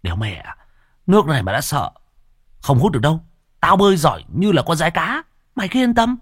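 A man's voice: fairly high pitch, big pitch swings, fairly quick, breathy edge, dry recording, clean studio sound, voice pushed up. A man doing a cocky teenage village boy. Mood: boastful. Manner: boastful, swaggering.

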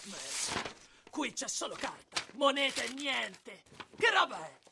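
High, swooping woman as a crude looting soldier. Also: sing-song, takes it slowly, full character voice. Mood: bossy, curious. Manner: coarse, colloquial. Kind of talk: radio drama acting. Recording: clearly noisy sound bed with clicks.

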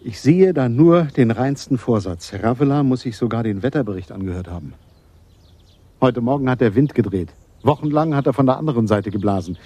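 A man's voice: medium pitch, animated, a little fast, mellow, slightly rough, dry recording. An actor in a German radio play as an opinionated police sergeant who explains his theories with sarcastic emphasis. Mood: insistent, sarcastic. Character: eager, opinionated police sergeant, man, theorizing about crime.

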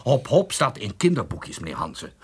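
Pompous husky boss, wildly swooping. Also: male voice, mid-range voice, very animated, normal speed. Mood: scornful. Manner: pompous, theatrical, repeats himself. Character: pompous, excitable middle-aged male advertising boss. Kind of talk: radio drama acting.

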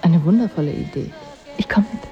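A woman's voice: fairly low pitch, animated, a little fast, dull audio, faint music behind, close to an everyday voice. A woman playing a cool, regal queen with a wry, composed manner in a literary radio drama.

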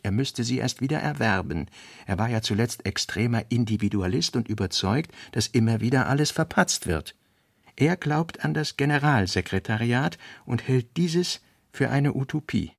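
Male narrator, scripted literary reading, gravelly, dry close sound. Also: medium pitch, animated, normal speed, dry recording.

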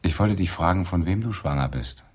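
An actor playing a casual police detective who probes with short questions in a radio drama. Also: male voice, low-pitched, lively intonation, brisk, darkish tone, slightly rough. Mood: probing.

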